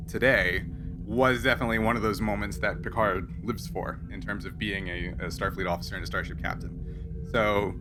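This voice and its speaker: A man explaining and narrating a tabletop roleplaying game as game master, in a conversational, halting way. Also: fairly low pitch, background noise. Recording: background music under the voice.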